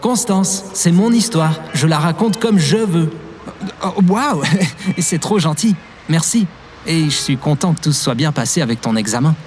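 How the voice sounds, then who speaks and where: An actor playing an easygoing, forgetful, cheerful young barista in an audio drama, with a casual, good-natured manner. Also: male voice, on the high side, animated, normal speed, darkish tone, slight background noise, close to an everyday voice. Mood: defensive. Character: Timo, easygoing, forgetful, cheerful young male barista telling his past. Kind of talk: audio drama acting and informal storytelling.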